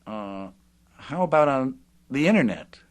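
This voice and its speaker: An actor playing an earnest, literal-minded, bookish radio interviewer in an audio drama. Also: male voice, mid-range voice, big pitch swings, a little slow. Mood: earnest, helpful. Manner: pedantic, earnest.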